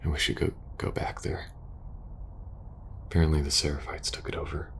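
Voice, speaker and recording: A man playing a war-weary, protective soldier in an intimate ASMR audio roleplay, speaking earnestly and hesitantly, sometimes hushed. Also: extremely low pitch, level tone, normal speed, vocal fry, full character voice. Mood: urgent, persuasive. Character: war-weary young male soldier pleading with best friend, protective, earnest.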